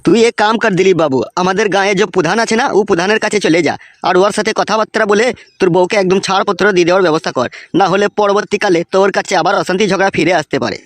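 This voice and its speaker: A man's voice: high-pitched, very fast, no echo, natural voice. A man voicing a cheeky, foul-mouthed village friend in a comic cartoon, with a rural West Bengal accent and an insistent, teasing way of talking. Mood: insistent advising. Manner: crude, swearing, teasing and insistent.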